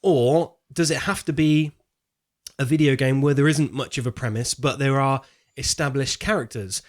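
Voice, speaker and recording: Man, mid-pitched voice, casual podcast co-host, informal and slangy. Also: normal speed. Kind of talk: casual film podcast chat.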